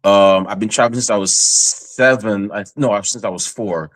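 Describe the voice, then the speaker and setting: A man's voice: fairly low pitch, moderate pace, no room sound. A man from Guyana hosting a casual podcast interview, hyped in his introductions and rambling with many fillers. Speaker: podcast host.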